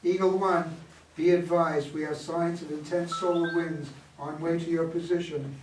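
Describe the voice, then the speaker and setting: A man's voice: fairly high pitch, little pitch movement, moderate pace, close to an everyday voice. Guy reading stiff procedural mission control man, earnest, amateur play, echoey room with faint noise. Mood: businesslike. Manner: formal, procedural. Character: earnest, by-the-book male mission control officer. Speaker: amateur reader of a play, also reading stage directions. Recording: echoey room, distant mic, background noise.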